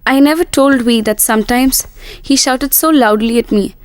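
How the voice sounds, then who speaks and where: A woman's voice: fairly high pitch, moderate pace, no echo, lightly acted. An actress playing a witty, frank young woman who is hard of hearing, in scripted dialogue and reflective narration, with a wry manner. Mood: wistful, reflective. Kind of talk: scripted dramatic dialogue and first-person narration.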